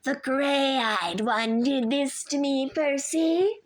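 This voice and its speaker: Sweetly menacing old female monster, put on by a woman narrator; soothing purr, high and slow, storybook read. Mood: coaxing.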